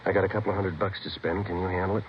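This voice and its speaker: A man playing a terse, clipped undercover narcotics detective in a vintage radio crime drama, deadpan and matter-of-fact. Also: low-pitched, racing, slight background noise, close to an everyday voice. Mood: guarded, businesslike. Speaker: lead actor and narrator. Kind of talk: 1950s radio crime drama acting and narration. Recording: muffled vintage radio recording, music behind.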